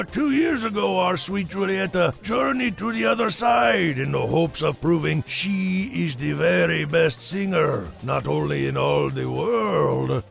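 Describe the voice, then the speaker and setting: A man voicing a high-pitched, theatrical doting cartoon father. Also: gravelly, heavily acted. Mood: dramatic. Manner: grand, expressive, gently reassuring. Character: doting, theatrical father guiding his child. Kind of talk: animated film voice acting.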